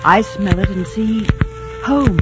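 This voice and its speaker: An actress playing a lovestruck young woman in a 1940s radio drama, soft and wistful, sometimes pleading. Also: medium pitch, slow pace, close to an everyday voice. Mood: dreamy. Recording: muffled old radio broadcast with loud music.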